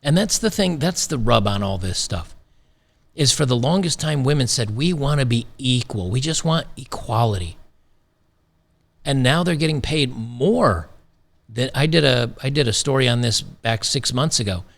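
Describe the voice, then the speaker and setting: Gravelly-voiced man ranting on commentary. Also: mid-range voice, lively intonation, normal speed. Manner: emphatic, repeats phrases, sarcastic. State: slightly losing his voice. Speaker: commentary channel host. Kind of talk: opinionated commentary.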